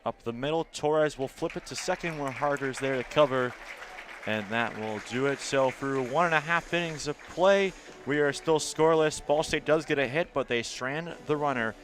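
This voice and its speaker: A man's voice: mid-range voice, moderate pace, fairly bright tone, slight background noise. American man calling ball games play-by-play. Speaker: play-by-play announcer. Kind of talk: live baseball and softball commentary.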